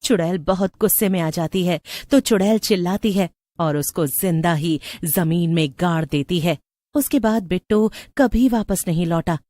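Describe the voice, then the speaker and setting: A woman's voice: on the low side, moderate pace, mellow, no room sound. A woman narrator reading a scripted story.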